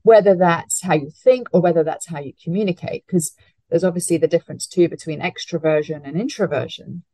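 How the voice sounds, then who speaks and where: Low-voiced woman podcast host, dry sound. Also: mellow, no room sound, rough recording. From Hong Kong. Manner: thoughtful, with fillers and restarts, slows to stress words. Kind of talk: conversational interview.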